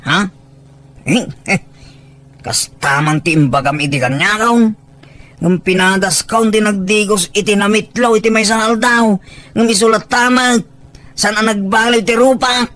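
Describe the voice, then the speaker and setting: A man plays a bashful young bachelor in a village comedy drama. His voice is high and bright, naive and lovestruck in its delivery. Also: steady tone, moderate pace. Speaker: voice actor in an Ilocano audio drama. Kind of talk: dramatic comic dialogue.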